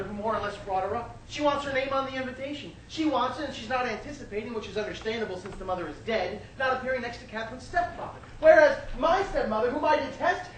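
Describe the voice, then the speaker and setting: A woman's voice: a little high, close to an everyday voice. A woman playing a put-upon, exasperated bridegroom telling his troubles in a theatrical stage monologue. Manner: exasperated, pleading storytelling. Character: Ivan, put-upon, weary adult bridegroom. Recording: echoey stage recording, distant mic, noisy.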